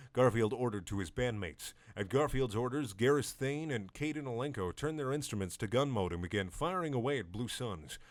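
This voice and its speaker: A man giving a deadpan, mock-serious comedic reading of fan fiction, with wry asides. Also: medium pitch, dry recording. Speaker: dramatic reader of fan fiction.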